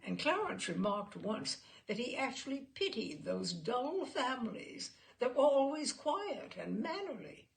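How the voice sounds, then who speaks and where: A woman reading literary stories aloud as a storyteller, with expressive, theatrical phrasing. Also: on the high side, unhurried, gravelly, hardly any pauses. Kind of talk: literary storytelling with informal introduction.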